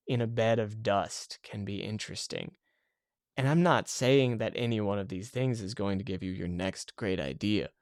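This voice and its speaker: A man with a slightly low voice talks alone into a close, dry microphone, a little stuffy from allergies. He muses out loud in a reflective podcast manner, pausing on fillers and restarting phrases. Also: dry recording. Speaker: podcast host, game developer. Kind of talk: solo podcast monologue.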